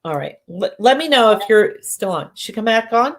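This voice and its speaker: A woman doing live psychic readings who sounds congested and talks in halting bursts, often restarting and correcting herself. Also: on the high side, sing-song. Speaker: psychic medium. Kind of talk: live-stream readings, casual chat.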